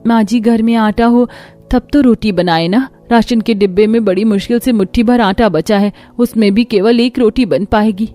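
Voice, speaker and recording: A woman's voice: a little high, normal speed, mellow, faint music behind, close to an everyday voice. A dutiful young village daughter-in-law in a cartoon tale, played by a woman. She is pregnant, poor and loyal, and speaks earnestly and respectfully. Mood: worried.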